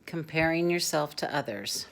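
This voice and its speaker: A woman plays a small, gentle storybook mole who loves cake. The delivery is earnest and simple, with cheerful warmth in a fairly low female register. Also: expressive, moderate pace. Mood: gentle, wise.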